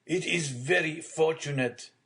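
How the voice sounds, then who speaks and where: A man voicing a frail, gentle, proud old father in an audiobook, speaking hesitantly. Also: a little high, measured pace, pitched above the performer's own voice. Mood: tender. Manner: hesitant, stammering at times. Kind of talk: dramatic audiobook character reading.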